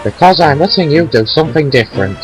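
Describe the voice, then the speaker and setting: A man's voice: on the high side, animated, fast talker, faint music behind, natural voice, low-quality recording. A man playing a firm but kindly railway boss in an amateur audio drama, giving instructions formally.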